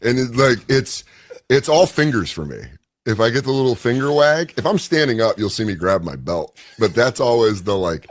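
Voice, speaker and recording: A man with a deep, gravelly voice, chatting casually and jokily as a comedian guest in an interview clip. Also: very animated, moderate pace, no echo, clean studio sound. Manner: loose, jokey, slips into a wrestler impression.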